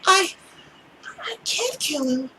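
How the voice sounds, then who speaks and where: A man's voice: extremely high pitch, sing-song, takes it slowly, sharp tone, a little hiss or hum, pitched above the performer's own voice, heavily acted. A man voicing a frightened young woman who pleads hesitantly with her mother in a visual novel. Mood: pleading.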